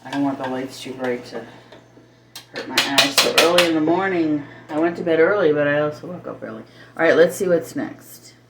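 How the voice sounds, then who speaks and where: A woman's voice: low voice, a little fast. A middle-aged woman chatting casually and thinking aloud as she demonstrates home cooking, in a rambling manner. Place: home kitchen, indoors. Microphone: camera set a little away. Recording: roomy, distant mic, faint noise, kitchen clatter.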